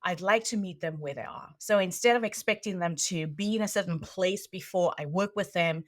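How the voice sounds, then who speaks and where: A woman's voice: lowish voice, fairly quick. A middle-aged woman from South Africa with a South African accent, a trainer and coach chatting animatedly as a podcast guest. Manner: animated, laughs easily, repeats words when starting. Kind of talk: conversational interview.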